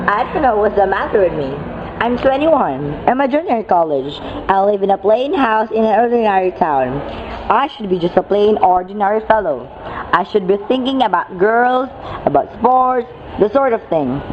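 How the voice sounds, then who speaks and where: A woman's voice: mid-range voice, lively intonation, normal speed, close to an everyday voice. Woman playing a bookish young man, noisy lo-fi sound. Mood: troubled, intense. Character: restless, bookish young male college student obsessed with chemistry. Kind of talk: amateur radio play acting. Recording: dull lo-fi audio, noisy background.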